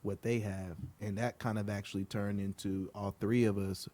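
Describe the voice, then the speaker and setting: A man from Liberia, a guest on a podcast, talking in a relaxed, earnest way with many ums. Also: on the low side, normal speed. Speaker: guest, outdoor group co-founder. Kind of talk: casual podcast interview.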